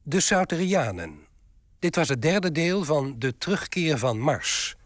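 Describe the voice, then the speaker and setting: A man reading scripted radio credits as an announcer, formal and measured. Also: fairly high pitch, big pitch swings.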